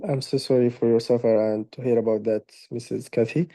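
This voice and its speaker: A man's voice: medium pitch, fairly even intonation, fairly quick, warm tone, a little hiss or hum. A man playing a doctor taking a patient's history, polite and formal, with slightly awkward phrasing. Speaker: doctor in practice consultation. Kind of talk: role-played clinical history taking.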